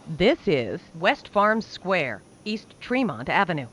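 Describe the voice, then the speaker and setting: Woman train announcer, lively pitch swings. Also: breathy edge. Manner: measured, formulaic announcer delivery. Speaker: transit announcer.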